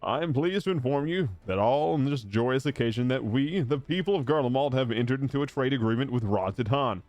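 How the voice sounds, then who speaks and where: A man's voice: medium pitch, lively intonation, a little mellow, no room sound, close to an everyday voice. Man reading as a formal, earnest fantasy statesman. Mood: solemn, proud. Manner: formal, measured, declamatory. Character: formal, earnest statesman addressing his war-weary nation. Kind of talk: reading fantasy game dialogue aloud. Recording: game music playing behind the voice.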